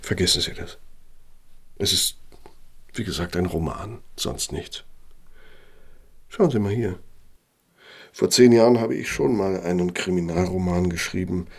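An actor playing a cynical, bitter middle-aged architect and old Nazi functionary in a German radio play, gravelly, with a pointed, self-justifying delivery. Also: male voice, sits low, unhurried, pauses often. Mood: brisk, guarded. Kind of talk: German radio play acting, dramatic monologue.

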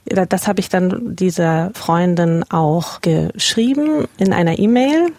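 A woman's voice: on the low side, measured pace, darkish tone, no echo. A woman in her early thirties, a journalist, earnestly recalling a lost friendship in an interview. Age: 30s. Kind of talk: personal recollection in an interview.

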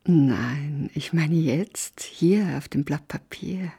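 An actress playing a playful, flirtatious small-town secretary with a breathy, husky, unhurried voice. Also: female voice, sits low, dark tone, heavily acted. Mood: teasing, intimate. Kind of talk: naturalistic film acting.

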